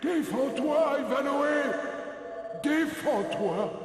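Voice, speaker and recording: An actor playing an excitable comic sidekick in a French cartoon dub, shrill and exclaiming. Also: male voice, very slow, in a large hall, full character voice. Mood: alarmed.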